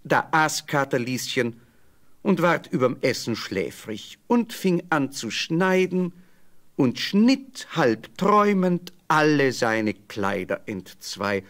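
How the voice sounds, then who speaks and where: A man's voice: on the high side, very animated, slow pace, fairly bright tone. A man narrating a scripted fairy tale as the storyteller of an audio play.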